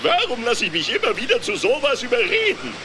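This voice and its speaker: A good-natured cartoon duck pilot, speaks in a high, lively voice. He sounds hesitant and clumsy, with clear noise in the background. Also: fairly quick, full character voice. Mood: reluctant. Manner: hesitant, worried. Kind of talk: German cartoon audio play acting. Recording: noise behind the voice.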